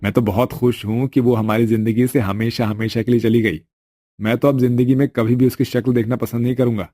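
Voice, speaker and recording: A man acts out a bitter, contemptuous elder brother from a moral family story. He scolds in a mellow, middling voice, recorded dry and close. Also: mid-range voice, level tone, a little fast, dry recording, lightly acted. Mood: doting, then contemptuous. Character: bitter, scornful elder brother, doting only on favoured sister.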